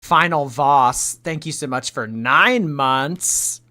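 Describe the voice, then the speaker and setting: A woman in her late twenties chatting on a livestream, reading out viewer tips and teasing, swinging between loud and mumbled. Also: deep voice, slow pace. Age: late 20s. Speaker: streamer. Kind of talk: livestream reaction chat.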